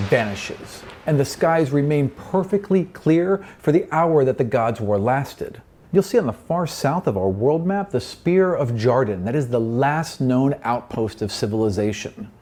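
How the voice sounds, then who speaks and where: A man's voice: mid-range voice, background noise. A man working as game master, narrating a tabletop roleplaying game with vivid, informal storytelling. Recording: music playing behind the voice. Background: music.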